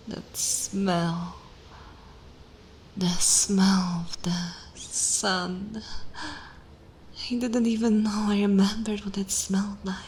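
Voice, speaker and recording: A woman voicing a dominant, sensual vampire mistress in an ASMR fantasy roleplay, commanding and savouring, often slipping into soft breathy whispers. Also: medium pitch, slight background noise. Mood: fascinated, hungry. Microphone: close microphone.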